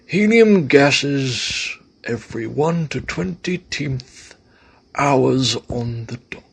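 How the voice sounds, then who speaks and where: Man as a husky, very slow mock-serious narrator. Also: medium pitch, animated, exaggerated voice. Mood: solemn, mock-serious.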